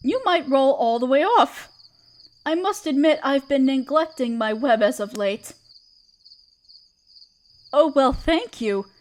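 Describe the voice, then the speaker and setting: A woman playing a gentle, protective half-spider queen in a roleplay audio drama, speaking tenderly and soothingly to the listener. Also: sits high, animated, takes it slowly, pauses often. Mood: gentle, doting. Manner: tender, soothing, hesitant and coaxing. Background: chirping crickets.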